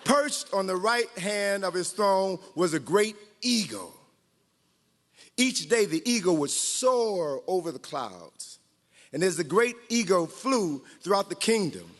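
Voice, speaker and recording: A man's voice: high voice, a bit bright. A man reading a story aloud to a group as a guest storyteller, playful and dramatic, with joking asides. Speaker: guest storyteller, author and historian. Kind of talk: animated read-aloud storytelling to live group. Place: large hall at a training event. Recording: reverberant hall.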